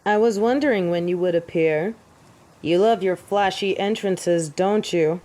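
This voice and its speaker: A woman's voice: on the low side, a little slow, mellow, natural voice. Woman acting a haughty, defiant fallen angel. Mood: cutting, sardonic. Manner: haughty, theatrical, formal speech. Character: proud, defiant fallen female angel of judgement. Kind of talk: scripted audio drama acting.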